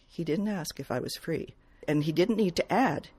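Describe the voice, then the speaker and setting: A woman reading first-person detective fiction aloud as its author, in measured, clearly phrased narration. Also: sits low, animated, darkish tone. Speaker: author reading from own novel.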